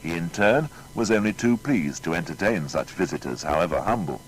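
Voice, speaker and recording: A man narrating a scripted biography in a formal, measured storytelling manner. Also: low-pitched, lively intonation, slight background noise.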